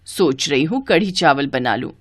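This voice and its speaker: Woman playing a low-voiced teasing Indian mother. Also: animated, moderate pace, no echo, studio quality. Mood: gently chiding. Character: middle-aged mother and mother-in-law, teasing, fond, gently scolding.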